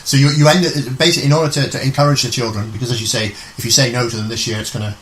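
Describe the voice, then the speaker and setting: Quick-talking man hosting a radio interview. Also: mid-range voice, flat delivery, a bit bright, a little hiss or hum. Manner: halting, recapping, repeats phrases. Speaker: radio show host. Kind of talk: conversational interviewing.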